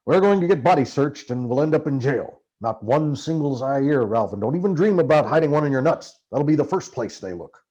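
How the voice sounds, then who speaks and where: Man acting a ranting, husky paranoid American journalist. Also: fairly high pitch, expressive, brisk, hardly any pauses, mellow, dull audio. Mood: urgent warning. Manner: urgent, barking, emphatic orders. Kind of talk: dramatized audiobook dialogue.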